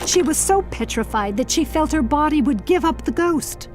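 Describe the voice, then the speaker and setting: A woman narrating a scripted fantasy audiobook in a formal, theatrical, measured storybook manner. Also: high-pitched, lively intonation. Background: faint music.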